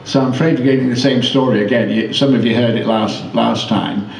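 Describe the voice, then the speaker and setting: A man performing his own comic rhyming poem as a measured storyteller. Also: mid-range voice, steady tone, creaky, a little hiss or hum. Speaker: poet performing own work. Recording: echoey room, distant microphone.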